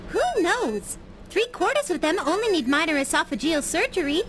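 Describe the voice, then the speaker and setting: Small robot companion for sci-fi comedy drama, extremely high and animated, literal, chipper. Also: female voice, moderate pace, noisy background. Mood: chirpy, informative. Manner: cheery, matter-of-fact recital of facts. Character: cheerful small puppy-cat robot companion, literal and chirpy.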